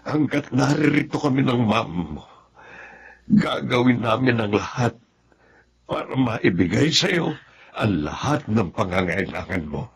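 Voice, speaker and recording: Very husky, tender, devoted father. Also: medium pitch, lively intonation, a little slow, exaggerated voice. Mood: heartfelt. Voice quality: husky, gravelly. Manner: earnest, heartfelt. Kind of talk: Tagalog radio drama acting.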